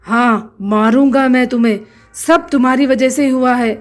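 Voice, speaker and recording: A woman's voice: sits high, fairly even intonation, normal speed, soft background music, voice pushed down, close to an everyday voice. A woman voicing an angry young husband who speaks in cold, harsh scolds. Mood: harsh, threatening.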